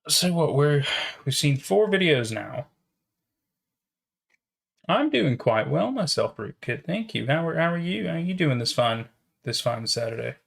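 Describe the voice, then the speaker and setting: A man's voice: fairly high pitch, very animated, unhurried, vocal fry, long pauses. A man casually chatting and reacting as a livestreamer, musing aloud and often repeating his phrases. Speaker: streamer reacting to horror videos.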